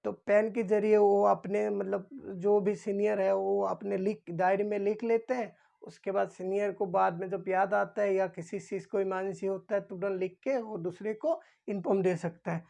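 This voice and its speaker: A woman's voice: medium pitch, steady tone, normal speed, gravelly, few pauses, mellow. A woman instructor giving an informal explanatory lesson, repeating key points and answering her own questions.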